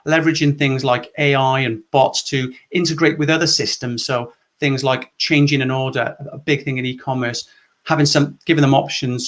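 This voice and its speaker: A man's voice: medium pitch, a bit bright. A middle-aged man from Wales presenting a structured conference session on customer service, explaining points step by step. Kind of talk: presentation talk.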